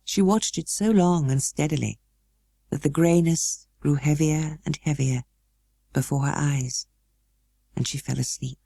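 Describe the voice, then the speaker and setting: A British woman reading a storybook as narrator, in a measured and expressive way. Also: sits low, creaky, no echo, studio quality. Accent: British English. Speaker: audiobook narrator.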